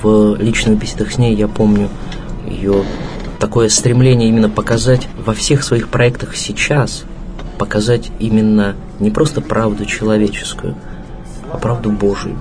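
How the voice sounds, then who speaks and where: A man giving a reflective tribute, speaking earnestly in short, measured, halting phrases. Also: fairly low pitch, fairly even intonation. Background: music.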